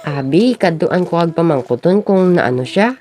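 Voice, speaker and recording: A woman's voice: fairly low pitch, animated, normal speed, crowd noise, close to an everyday voice. A young woman playing an earnest, lovestruck schoolboy in an amateur radio drama. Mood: concerned. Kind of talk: amateur radio drama acting in Filipino regional language.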